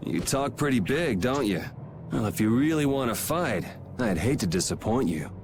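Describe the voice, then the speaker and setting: Man playing a cocky, mid-pitched young swordsman. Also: animated, normal speed, background noise, close to an everyday voice. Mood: mocking. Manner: casual, sardonic, challenging. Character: cocky, defiant young swordsman, loyal and irreverent. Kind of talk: dubbed fantasy game voice acting.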